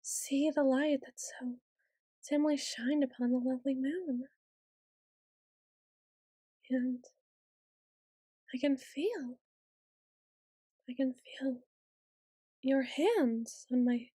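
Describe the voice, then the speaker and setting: A woman's voice: sits high, extremely slow, pauses often, mellow, breathy edge, dry recording, heavily acted. A woman voicing a haughty, centuries-old tsundere vampire noble in an ASMR roleplay, with theatrical, formal and condescending phrasing. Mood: soft, tender.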